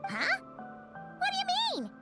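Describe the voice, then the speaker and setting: Tiny squeaky game fairy, excitable, swoops all over, music under it. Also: female voice, a little slow, exaggerated voice. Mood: puzzled, indignant. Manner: chirpy, exclaiming, refers to herself in third person. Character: tiny childlike female fairy companion, excitable and inquisitive. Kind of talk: animated video game dialogue.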